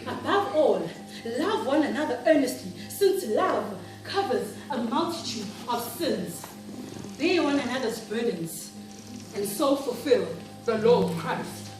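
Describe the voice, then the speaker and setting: Female actor for devout South African churchwoman, high voice, theatrical spoken-word delivery, weary of pretence; distant, music underneath. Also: animated. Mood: pleading. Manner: theatrical, emphatic, with repeated phrases and dramatic pauses. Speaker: monologue performer. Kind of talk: dramatic spoken-word monologue. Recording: very echoey room, distant mic, music behind.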